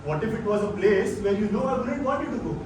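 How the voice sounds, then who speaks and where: A man's voice: high voice, expressive, quick pace. A man with an Indian accent playing a stern, controlling old father-in-law in a stage play, authoritative and disapproving. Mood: accusing. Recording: very echoey, distant stage recording with noise.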